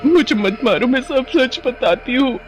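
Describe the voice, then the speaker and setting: A scheming young woman acted out by a man in a cartoon moral tale. The voice is high and dramatic, vain and easily rattled, with faint music behind it. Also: normal speed. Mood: panicked, confessing. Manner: pleading, dramatic. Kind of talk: animated storybook acting.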